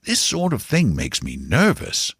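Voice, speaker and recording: A man's voice: mid-range voice, wildly swooping pitch, a little slow, fairly bright tone, husky, no echo, voice pushed up, full character voice. A man voicing a wry, kindly middle-aged uncle in a storybook reading, with a dry, amused manner.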